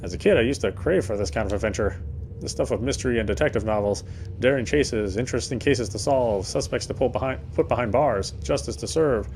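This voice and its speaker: A man's voice: mid-range voice, a little fast, darkish tone. A man playing a visual novel, reading its narration aloud in a dramatic storytelling style. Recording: faint background noise.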